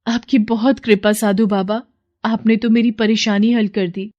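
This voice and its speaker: Kind, humble young wife voiced by a narrator. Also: female voice, a little high, little pitch movement, a little slow, darkish tone, lo-fi sound, pitched above the performer's own voice, close to an everyday voice. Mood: grateful. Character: humble, kind, dutiful young daughter-in-law. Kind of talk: moral story dramatization.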